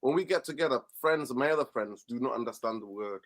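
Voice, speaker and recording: A man's voice: medium pitch, fast talker. A man from Manchester with a Mancunian accent, co-hosting a casual football podcast chat. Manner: animated, swears freely. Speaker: podcast co-host.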